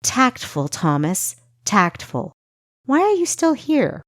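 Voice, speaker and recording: Woman playing a sharp-tongued, defiant teenage girl spy. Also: fairly high pitch, sing-song, slow pace, no room sound, studio quality, pitched above the performer's own voice, heavily acted. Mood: sarcastic. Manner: sarcastic, indignant. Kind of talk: audiobook character dialogue.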